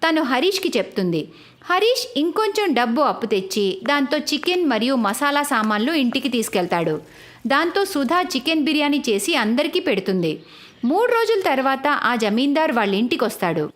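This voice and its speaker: Woman, narrator, high-pitched, lively intonation, scripted moral story narration. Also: fairly quick.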